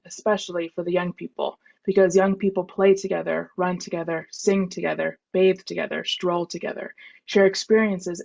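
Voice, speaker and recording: A woman's voice: fairly low pitch, creaky, a little mellow. A woman reading a report aloud on a dull, muffled video call, hesitant over unfamiliar words. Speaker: reader in a group reading.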